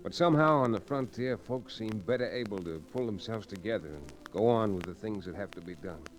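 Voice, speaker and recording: An actor playing a cool, steady frontier lawyer in an old-time radio western, speaking in a measured, understated way. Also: male voice, on the low side, natural voice. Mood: grave narration. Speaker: lead actor and narrator. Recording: noisy old radio recording.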